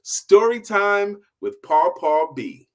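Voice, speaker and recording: An older man hosting a story show, telling informal motivational bedtime stories in a deliberate manner, repeating phrases and checking in with the listener. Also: sits high, animated.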